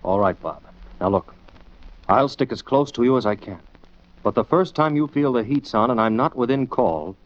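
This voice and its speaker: Steady, authoritative male detective-narrator played by an actor, middle pitch, muffled old-time radio recording. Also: normal speed, lightly acted. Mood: serious, concerned. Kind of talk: 1940s radio drama acting and narration.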